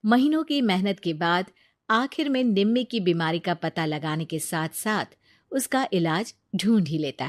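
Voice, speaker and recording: A woman tells a moral story at a steady, even storytelling pace, her voice at an ordinary middle pitch. The recording is dry and close, with no room sound. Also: dry recording. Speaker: narrator. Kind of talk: scripted story narration.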